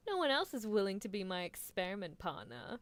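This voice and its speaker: A woman's voice: mid-range voice, big pitch swings, fairly quick. A woman voicing an eccentric female mad scientist in an ASMR roleplay, gleefully menacing and doting, with a theatrical, musing, teasing delivery. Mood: mock-exasperated, doting. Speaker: streamer performing a character roleplay. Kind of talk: live ASMR character roleplay, improvised replies to listeners.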